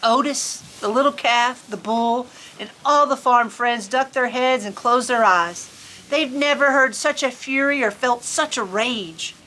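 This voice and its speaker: A woman reading a picture book aloud to children in an expressive, folksy, chatty storytime manner. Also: fairly high pitch, unhurried, slight background noise, poor audio. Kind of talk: animated children's story reading with casual asides. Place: on a farm among sheep.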